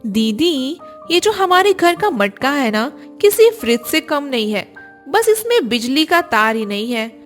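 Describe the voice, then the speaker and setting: A woman voicing a playful, polite young Indian daughter-in-law in an animated family story, with a teasing manner. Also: high voice, a little slow, faint music behind.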